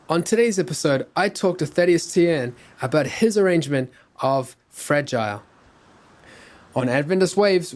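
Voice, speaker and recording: A man hosting a podcast who introduces the show and asks the guest questions in a relaxed, conversational way. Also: on the high side. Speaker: podcast host.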